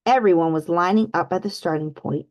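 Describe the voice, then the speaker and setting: A woman reading a picture book aloud for children, pausing to ask her young listeners questions. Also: lowish voice, darkish tone. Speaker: children's read-aloud host. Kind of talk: storybook read-aloud.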